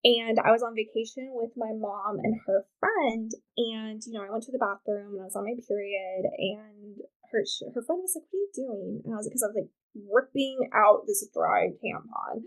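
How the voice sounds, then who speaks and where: A woman's voice: on the high side, normal speed, hardly any pauses, rough recording. A woman from Wyoming, a podcast guest who advocates for reproductive rights, telling candid and funny stories in casual chat. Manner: animated, candid, comic storyteller.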